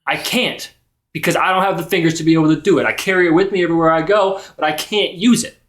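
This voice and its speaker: A man's voice: on the high side, fast, fairly bright tone, close to an everyday voice. A man playing a wounded, dismissive bard in improvised tabletop roleplay, in a casual rambling way. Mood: defensive, upset. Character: defensive wounded male bard, brushing off trauma.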